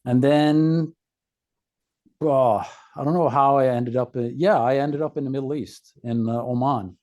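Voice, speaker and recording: A man from Norway with a Norwegian accent, a podcast guest telling his own story casually in a halting, laconic way. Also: medium pitch, fairly even intonation, a little mellow.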